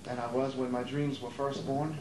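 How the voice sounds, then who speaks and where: A man's voice: mid-range voice, little pitch movement, moderate pace, lightly acted. Hesitant, weary aging dreamer played by a man, distant. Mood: wistful, weary. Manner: hesitant, stammering, repeating words. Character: Fred, wistful aging would-be actor, nervous and weary. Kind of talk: amateur stage play acting. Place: theater during a rehearsal. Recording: distant, echoey, noisy rehearsal tape.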